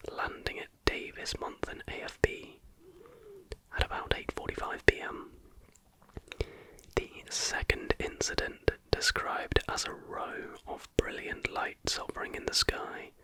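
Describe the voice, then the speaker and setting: An ASMR host reading a scripted account in a whisper, with unhurried, deliberate phrasing. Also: extremely high pitch, poor audio.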